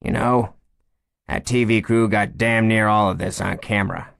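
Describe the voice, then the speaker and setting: A man's voice: fairly low pitch, steady tone, moderate pace, gravelly, a bit bright, no room sound, clean studio sound. A man voicing a composed but shaken woman official who talks in a plain, conversational way. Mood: wry.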